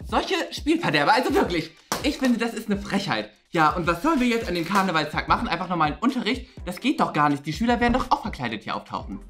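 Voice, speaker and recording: A silly teacher crazy about carnival, acted by a woman in a comedy sketch. The voice sits fairly low for a woman and swings up and down a lot. The talk is bubbly and rambling, eager and quick to take offence. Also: very animated, a bit bright, faint music behind. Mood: offended, whining. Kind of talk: improvised comedy sketch acting.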